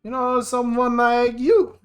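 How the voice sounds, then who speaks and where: A man voicing a brash, protective, hard-drinking big brother in a game, loud and argumentative. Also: very high-pitched, little pitch movement, slow pace, pitched above the performer's own voice. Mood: doting, worried.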